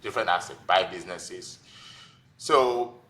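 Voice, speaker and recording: A man's voice: deep voice, unhurried, fairly bright tone. A man in his forties with a Nigerian accent, telling his own story informally as a guest in a conversational interview. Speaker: podcast guest, physician and investor.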